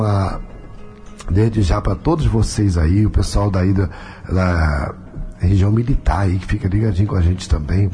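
Carioca man, radio host and pastor, mellow tone, chatty, faint music underneath. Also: mid-range voice. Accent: Carioca Brazilian Portuguese. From Rio de Janeiro, Brazil. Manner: talkative, peppers sentences with tag questions. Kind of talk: chatty radio talk show hosting.

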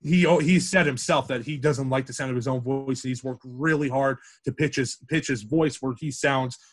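A young man with a deep, projecting voice, a campus radio sports director talking casually as a podcast guest, with many restarts and fillers. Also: steady tone, moderate pace. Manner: rambling with frequent restarts and fillers.

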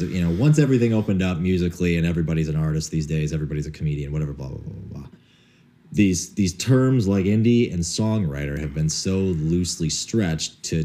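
Very deep, dark-voiced man. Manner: hesitant restarts, lots of short agreement. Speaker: podcast co-host. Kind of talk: casual music chat.

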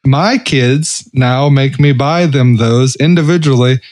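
A man's voice: mid-range voice, takes it slowly, darkish tone, dry recording. Salty, casual man, dry sound. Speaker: podcast co-host. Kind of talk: casual chat.

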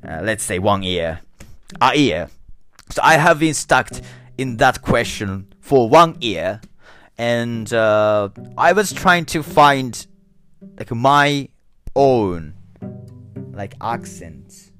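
Slow, halting man with a Japanese accent. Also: mid-range voice, sing-song, a bit bright, smooth, faint music behind. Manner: halting, many restarts and fillers; slow and thoughtful. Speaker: English learner. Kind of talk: reflective solo talk.

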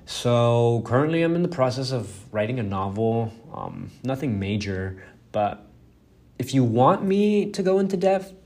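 A man's voice: medium pitch, very animated, measured pace, a little mellow. Young guy, spanish is his first language, rambly self-deprecating podcast talk, lots of "um" and "you know". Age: young adult. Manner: rambling, self-deprecating, swears freely, many fillers. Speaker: podcast host. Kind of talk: casual solo podcast monologue.

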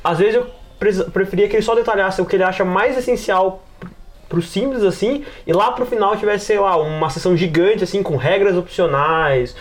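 Casting: Brazilian man, higher-pitched, rapid and informal, rambling podcast discussion full of fillers. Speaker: podcast panelist. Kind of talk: casual podcast discussion of tabletop games.

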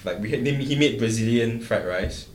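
A man's voice: mid-range voice, moderate pace, roomy sound, slight background noise. A man hosting a podcast, asking questions in a joking, teasing way. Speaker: podcast host. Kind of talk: casual interview chat.

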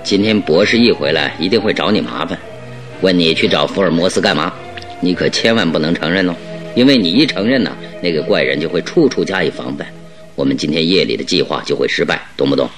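Radio drama detective: very low voice, shrewd, exacting, deliberate and commanding. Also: male voice, expressive, music in the background. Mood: firm, instructing. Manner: deliberate, instructing, emphatic. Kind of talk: Mandarin radio drama acting.

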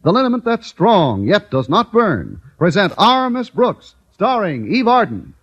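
A male announcer from 1950s radio, speaking in a fairly high, very animated voice. He delivers scripted copy formally and emphatically on a dull, lo-fi old recording. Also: unhurried.

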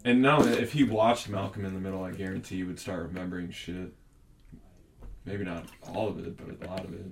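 A man's voice: deep voice, fairly even intonation, fairly quick, creaky, recorded from a distance, a little hiss or hum, poor audio. A young man in casual conversation, rambling and arguing his points with plenty of profanity. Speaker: podcast host. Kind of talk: casual chat.

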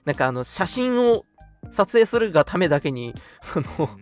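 A young man in his early twenties with a fairly high voice and very lively intonation. He chats casually as a radio co-host, agreeing readily as he goes. Music plays softly behind him. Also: normal speed. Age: early 20s. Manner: frequent agreeing backchannels. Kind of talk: casual chat. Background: background music.

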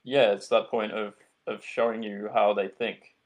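Yorkshire guy, lowish voice, plainspoken and easygoing. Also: a little slow. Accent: Yorkshire English. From Yorkshire, England. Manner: frank, relaxed. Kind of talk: casual interview chat.

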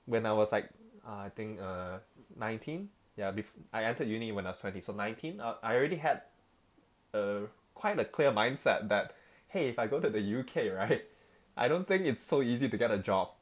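A man's voice: fairly low pitch, expressive, a little fast. A young man from Malaysia talking casually about his choices, rambling with many fillers and restarts. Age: young adult. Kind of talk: casual conversation.

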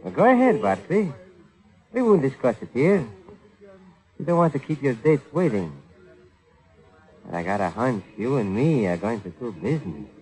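An actor playing a surly, suspicious small-time crook with blunt, slangy tough talk in a mid-century radio crime drama. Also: male voice, medium pitch, very animated, moderate pace, pauses often, dark tone, close to an everyday voice, low-quality recording. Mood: sly, threatening.

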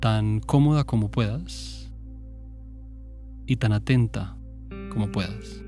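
A man guiding a meditation in a calm, gentle, unhurried manner with pauses. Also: lowish voice, music in the background. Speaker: meditation guide. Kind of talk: guided meditation.

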